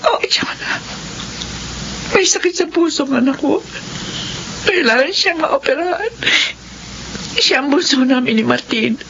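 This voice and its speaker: A woman's voice: sits high, animated, unhurried, gravelly, long pauses, a bit bright, heavily acted. A Filipino woman playing an emotional, worn-down middle-aged mother of a sick child in a radio drama. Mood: troubled, sad. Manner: emotional, plaintive. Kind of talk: classic Tagalog radio drama acting. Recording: dull, lo-fi, noisy radio drama recording.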